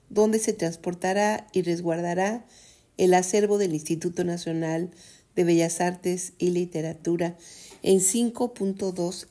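A woman presenting community radio news, reading an article aloud with a steady delivery and adding brief comments. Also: fairly low pitch.

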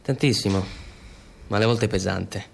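Low-voiced, guarded male escort. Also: normal speed, gravelly, a little hiss or hum. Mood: defensive. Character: blunt, guarded male escort humouring his talkative client. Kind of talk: naturalistic radio drama dialogue.

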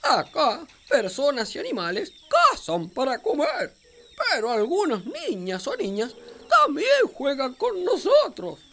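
A woman playing a friendly, excitable capybara in children's radio theater, with an Argentine accent and a drawn-out, giggly delivery. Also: high-pitched, vocal fry, full character voice. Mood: earnest. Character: friendly excitable capybara, animal guide to a little girl.

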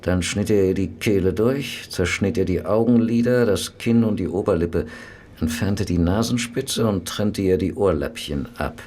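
A dry, grumbling pathologist acted by a man in a radio play. He speaks in a fairly low voice with clinical detachment, over slight background noise. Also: normal speed, mellow, close to an everyday voice. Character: matter-of-fact, grumbling male police pathologist. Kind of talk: German radio play acting.

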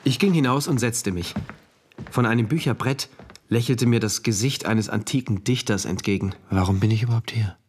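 An actor playing a rigid, troubled man from a regimented future, narrating a literary radio play in a deliberate, brooding, precise way. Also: medium pitch, a little mellow, a little hiss or hum, lightly acted. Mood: unsettled. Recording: music behind the voice.

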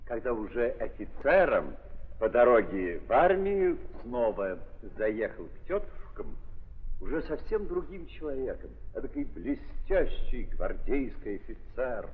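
A man narrating a literary drama in an expressive, deliberate 1930s theatrical style, with dramatic pauses. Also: medium pitch. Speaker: narrator, stage actor. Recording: muffled 1930s recording, long reverberant hall.

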